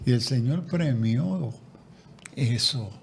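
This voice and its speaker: A man's voice: mid-range voice, expressive, slow pace, vocal fry, a little hiss or hum. A man speaking as a guest theologian in a conversational interview, reflective, often restarting and repeating words. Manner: reflective, with frequent restarts and repetitions.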